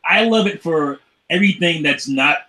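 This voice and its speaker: A man chatting casually as a podcast host, riffing in an animated, profane way and often chuckling. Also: on the high side, moderate pace. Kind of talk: casual film banter.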